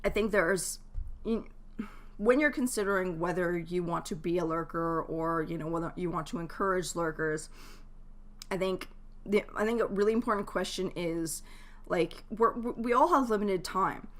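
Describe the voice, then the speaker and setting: Woman, mid-range pitch, chatty, casual live-stream host, informal advice to viewers. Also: moderate pace, a bit bright.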